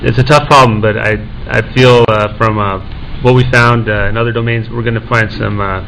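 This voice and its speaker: A young man introducing himself on a conference panel as an assistant professor, talking in a halting way full of "uh" fillers and restarts. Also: lowish voice, fairly even intonation, vocal fry, fairly bright tone, a little hiss or hum, rough recording.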